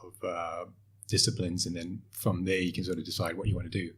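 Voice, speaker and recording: A man's voice: deep voice, level tone, fairly quick, fairly bright tone, breathy edge. A man from Asia, a portfolio manager, answering interview questions in a loose, hesitant way. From Asia (Hong Kong, Malaysia, Singapore). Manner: hesitant, many fillers and restarts. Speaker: podcast guest, portfolio manager.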